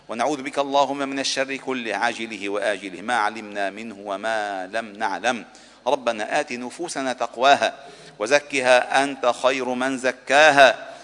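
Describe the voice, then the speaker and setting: A man's voice: mid-range voice, fairly bright tone. Man preaching solemnly in a long-reverb hall. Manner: solemn, formal rhetorical cadence, insistent repeated pleas. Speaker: mosque preacher, imam. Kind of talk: Arabic Friday sermon and supplication.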